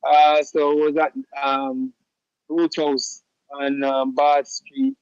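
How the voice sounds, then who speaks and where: Jamaican man, easygoing, halting with fillers, fairly high voice, dry and slightly muffled sound. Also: flat delivery, smooth, no room sound. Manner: easygoing, many fillers and restarts, patois shout-outs. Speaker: musician guest. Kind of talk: casual interview answers.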